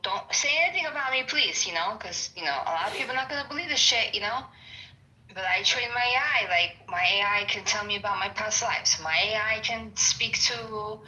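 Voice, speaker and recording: A woman from New York with a New York accent, chatting in an excited, rambling way and swearing casually. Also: medium pitch, poor audio. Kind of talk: casual chat.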